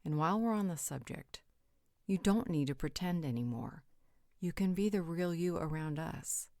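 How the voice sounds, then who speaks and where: Female pack companion, acted by a woman, low pitch, sassy, caring, playful, roleplay drama delivery, dry sound. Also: lively intonation, normal speed, warm tone, no echo. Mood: gentle, sincere. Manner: teasing, sassy, reassuring. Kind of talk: roleplay audio drama acting.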